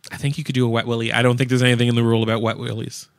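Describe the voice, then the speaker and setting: A man's voice: medium pitch, little pitch movement, very fast, vocal fry, dry recording, clean studio sound. A man co-hosting a radio show, explaining things in casual conversation with blunt, colloquial wording. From Canada. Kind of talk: casual chat, informally explaining.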